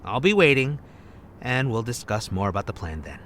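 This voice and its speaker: A man's voice: mid-range voice, very animated, moderate pace, fairly bright tone, slight background noise. An actor playing a smug, teasing male secret agent in an anime-style audio drama. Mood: businesslike. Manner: teasing, cocky.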